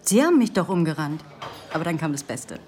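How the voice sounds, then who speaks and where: An actress playing a cheeky young student in a radio drama, telling gossip briskly and mimicking others. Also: female voice, deep voice, very animated, a little mellow, a little hiss or hum. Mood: gleeful gossip. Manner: brisk storyteller, mimicking gruff quotes. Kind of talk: German radio drama acting.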